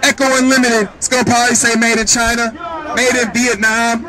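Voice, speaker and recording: A man, preaching confrontationally on the street, loudly questioning passers-by through a microphone. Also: very high voice, level tone, brisk. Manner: loud, insistent, questioning bystanders. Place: under a canopy on a city street. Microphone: handheld microphone through a loudspeaker. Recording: outdoor street PA with crowd noise.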